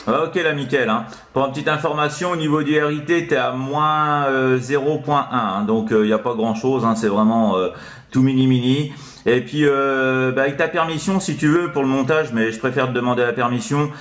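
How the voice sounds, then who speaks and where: A man's voice: medium pitch, moderate pace, a bit bright. A man having a casual CB radio chat as an operator, rambling and hesitant, repeating little filler tags. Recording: echoey room.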